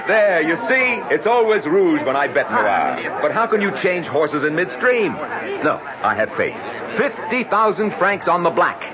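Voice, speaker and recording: A man's voice: sits high, very animated, unhurried, crowd noise. A man playing a proud, indignant French viscount with a reckless gambling habit, in a haughty, theatrical old-time radio drama style. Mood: stubborn. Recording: muffled old radio recording, faint noise.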